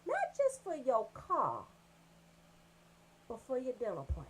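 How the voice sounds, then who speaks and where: A woman's voice: high-pitched, huge pitch swings, takes it slowly, a little hiss or hum, poor audio. A woman telling comic stories as a radio show host, brash and freely swearing.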